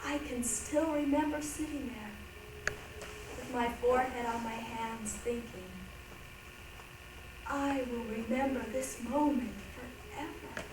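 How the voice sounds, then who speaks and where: A woman's voice: fairly high pitch, takes it slowly, long pauses. A woman performing a reader's theatre monologue as a reflective, earnest woman looking back on her childhood. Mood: reminiscing, earnest. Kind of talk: staged dramatic monologue reading. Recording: distant, noisy, echoey room recording.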